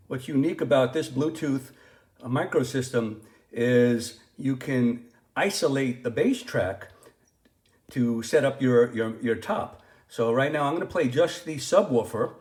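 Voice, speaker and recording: Male product reviewer, mid-range voice, casual and unscripted, halting delivery with restarts, roomy echo on the recording. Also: medium pitch, darkish tone. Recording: roomy, echoing sound.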